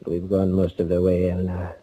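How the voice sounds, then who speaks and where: An actor playing a grim, commanding fugitive bent on revenge in a 1940s radio thriller, terse and intense. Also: male voice, low voice, completely flat delivery, a little fast, dark tone. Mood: urgent. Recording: noisy 1940s radio recording.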